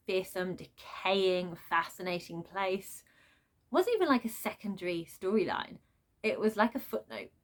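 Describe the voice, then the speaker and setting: A woman vlogger talking off the cuff in an emphatic, expressive, informal way, like a book reviewer ranting to viewers. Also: mid-range voice. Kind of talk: unscripted opinionated rant to viewers.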